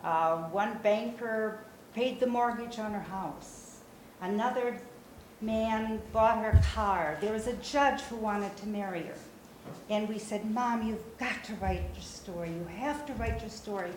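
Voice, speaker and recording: A woman's voice: medium pitch, a little mellow. Older Brazilian-born woman talking in echoey room. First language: Portuguese. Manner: conversational, frequent "uh" pauses, gestures while speaking. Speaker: memoir author giving a talk. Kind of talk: informal talk to a small audience. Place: small meeting room, indoors. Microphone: far camera. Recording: roomy echo, faint room noise.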